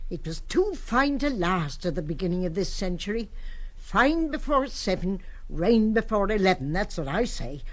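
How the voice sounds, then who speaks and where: A woman plays an elderly nanny in a radio drama, bossy and homely, forever ready to scold. Her voice sits at a middle pitch for a woman. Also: very animated, moderate pace, lightly acted. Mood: scolding, grumbling. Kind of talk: radio stage-play acting.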